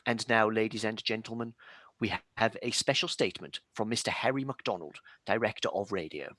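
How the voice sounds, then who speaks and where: Guy playing a radio announcer reading bulletins, lowish voice, dry and close. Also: fairly quick, no room sound. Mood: formal. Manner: formal, even bulletin delivery. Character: composed male radio news announcer. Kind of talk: 1930s-style radio drama acting.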